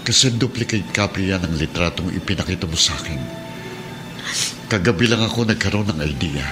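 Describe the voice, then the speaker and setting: A man playing a kindly middle-aged Filipino policeman and adoptive father in a radio drama, measured and earnest. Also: low-pitched, close to an everyday voice. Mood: grave, earnest. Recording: dramatic music bed behind the voice.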